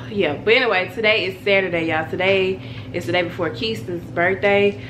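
A woman's voice: medium pitch, steady tone, normal speed. Stuffy-nosed woman vlogging with a cold. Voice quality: stuffy, nasal from congestion. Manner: chatty, rambling, addresses viewers as y'all. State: congested with a cold. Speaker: family vlogger. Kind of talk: casual vlog chat to camera. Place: bedroom at home, indoors. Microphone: phone camera held at arm's length. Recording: noisy home phone recording.

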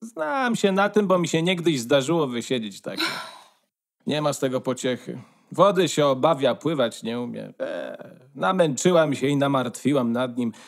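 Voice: mid-range voice, lively intonation, moderate pace, vocal fry. A performer playing a nosy old female duck neighbour in a radio play, opinionated and folksy. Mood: knowing, grumbling.

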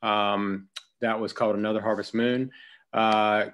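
A man from rural North Carolina, a writer-producer introducing his projects on a video call, halting with many fillers. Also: lowish voice, level tone, fairly bright tone. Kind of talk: self-introduction pitch.

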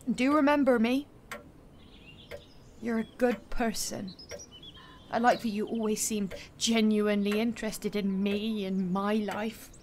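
A woman's voice: a little high, darkish tone, a little hiss or hum, lightly acted. A woman playing a frank, lovelorn, world-weary young woman in a naturalistic audio drama, blunt and confiding. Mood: wistful, warm.

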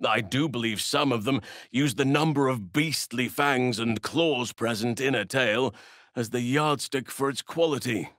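An actor playing a gentle, earnest druid and guardian of children in a fantasy game, speaking in formal, measured, courtly phrases. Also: male voice, medium pitch, no echo, clean studio sound, close to an everyday voice. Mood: warm, amused.

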